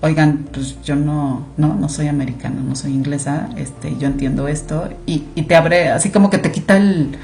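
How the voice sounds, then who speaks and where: A woman's voice: deep voice, fairly even intonation, moderate pace, vocal fry, hardly any pauses, mellow. A Mexican woman speaking as a podcast guest, chatting casually and animatedly with frequent fillers. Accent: Mexican Spanish. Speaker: podcast guest, clinical monitoring director. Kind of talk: casual conversational interview.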